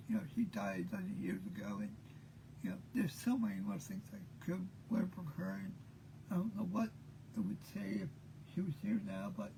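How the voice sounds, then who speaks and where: A man in his fifties hosting an unscripted monologue, talking haltingly with many pauses, tired and short of breath. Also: sits high, creaky, background noise. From Maine. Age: 50s. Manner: halting, full of pauses and fillers. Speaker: host.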